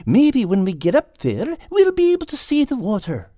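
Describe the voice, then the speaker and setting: A woman's voice: on the high side, huge pitch swings, moderate pace, mellow, dry recording, studio quality. A woman voicing a small young male cat in a children's story. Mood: hopeful.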